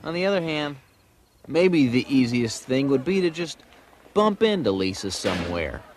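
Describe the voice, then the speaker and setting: A man's voice: medium pitch, sing-song, normal speed, background noise, lightly acted. An American actor playing a grown man who narrates memories of his boyhood crush in a wry, nostalgic, dramatic way. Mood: earnest, wry. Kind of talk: scripted first-person narration.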